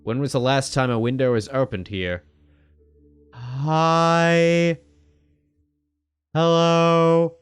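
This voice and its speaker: A man hosting a let's-play video, reading a game's text aloud and joking, swearing casually and jumping into sudden loud high-pitched exclamations. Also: flat delivery, very slow, pauses often, clean tone, no echo, faint music behind. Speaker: gaming video host.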